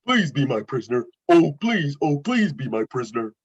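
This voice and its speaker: Friendly, naive cartoon dog, played by a man, highish and very animated, eager to please, dull lo-fi sound. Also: normal speed, slightly rough. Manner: childlike, simple earnest wording. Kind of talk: animated film script table read. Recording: muffled lo-fi microphone.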